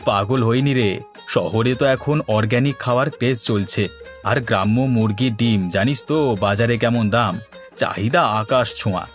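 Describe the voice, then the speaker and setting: A man plays a confident village man with big business schemes in a comic cartoon. He talks at a medium pitch, persuasive and reassuring, with light music behind him. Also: moderate pace, close to an everyday voice. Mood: eager, persuasive. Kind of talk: comic Bengali cartoon dialogue.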